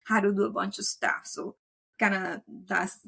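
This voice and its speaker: Colombian lady rambling along on a video call, kinda gravelly voice, lots of "like". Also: medium pitch, fairly even intonation, moderate pace, a bit bright. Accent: Colombian Spanish. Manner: chatty, rambling, many "like" fillers. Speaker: guest, veterinary technician and instructor. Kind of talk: casual interview chat. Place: home setup, remote recording.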